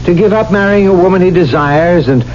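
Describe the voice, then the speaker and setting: An actor playing a worried, sensible friend in a 1970s radio drama, earnest and cautioning. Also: male voice, sits high, fairly quick, darkish tone, lightly acted. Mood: worried, reasoning. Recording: dull, noisy old radio recording.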